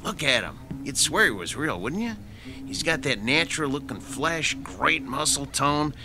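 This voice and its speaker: A man's voice: fairly high pitch, big pitch swings, moderate pace, background noise. An actor playing a hungry, grumbling male mechanic sidekick with a whiny, joking way of talking in a radio drama. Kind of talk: 1960s-style radio drama acting.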